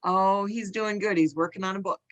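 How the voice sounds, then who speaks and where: Gentle woman asking encouraging questions. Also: medium pitch, fairly even intonation, fairly quick. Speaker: podcast host. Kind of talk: casual interview chat.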